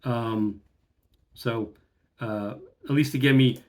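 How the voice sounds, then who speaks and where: A man's voice: mid-range voice, fairly even intonation, slow pace. A middle-aged man speaks to a close webcam in a room at home, in an ordinary mid-range voice. He goes through one number after another in a plain, methodical way, with a few ums and uhs along the way. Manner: plain, methodical, reads out lots of figures with fillers. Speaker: vlogger. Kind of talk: casual talk to camera.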